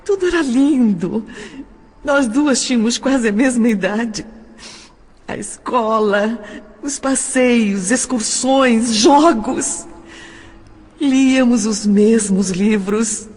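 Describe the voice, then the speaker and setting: A woman playing a sorrowful adopted orphan recalling her childhood in an emotive, deliberate audio drama monologue. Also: fairly high pitch, darkish tone, breathy edge. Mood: wistful, tender. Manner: slow, emotive, deliberate delivery. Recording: long hall-like reverb.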